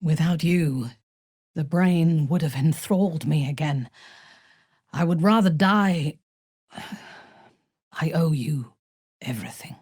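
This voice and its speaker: An actress voicing a ruthless, imperious female dark elf commander in a fantasy game, with haughty, formal, menacing delivery. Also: deep voice, slow pace, long pauses, slightly rough, no echo, studio quality, heavily acted. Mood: solemn, devoted. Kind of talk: dramatic fantasy game voice acting.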